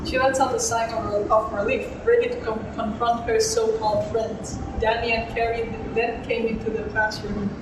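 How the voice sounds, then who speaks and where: A woman's voice: fairly high pitch, level tone, normal speed, few pauses. Young woman narrating in a very echoey room. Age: young adult. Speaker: student narrator of a horror radio drama. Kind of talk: scripted narration, read aloud. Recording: very echoey room, distant mic, noisy background.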